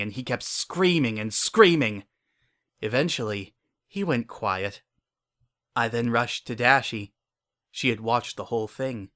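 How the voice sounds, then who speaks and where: A man's voice: mid-range voice, lightly acted. A man playing a paranoid, obsessive father in a dramatic horror fan fiction reading, with an intense, brooding and repetitive way of talking. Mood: disgusted, agitated. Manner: intense, repetitive, brooding, swinging between hushed and frantic. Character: paranoid, obsessive, unhinged father protecting his pony daughter.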